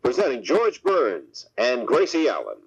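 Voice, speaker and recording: A man's voice: mid-range voice, huge pitch swings, a little slow, slightly rough, dull audio. A man working as a radio announcer, reading commercial and program announcements. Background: music.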